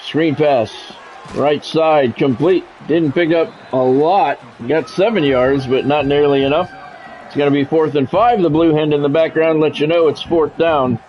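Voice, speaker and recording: A man's voice: a little high, a little slow. A man streaming a football video game, calling it live in clipped, practiced announcer phrases mixed with casual, offhand remarks. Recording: dull lo-fi sound, faint background noise.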